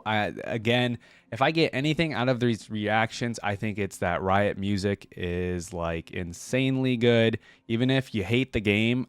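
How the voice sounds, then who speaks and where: A man's voice: lowish voice, dry recording. A man hosting a reaction video, talking casually in an enthusiastic, emphatic, slangy way into a close mic.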